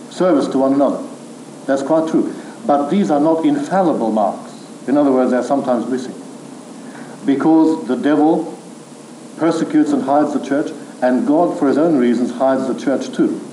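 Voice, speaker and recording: A man lectures in a mellow, dark voice, speaking in a measured, firm way. The sound is echoey with a faint hiss behind him, as in a hall recording. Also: medium pitch. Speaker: theology lecturer. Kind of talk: lecture with questions from the floor.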